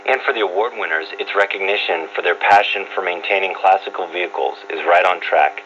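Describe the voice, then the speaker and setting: A man's voice: low voice, brisk, highs cut off. A man reading a scripted radio feature as a reporter, heard over shortwave radio. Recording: noisy shortwave radio reception with hum. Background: static and hum.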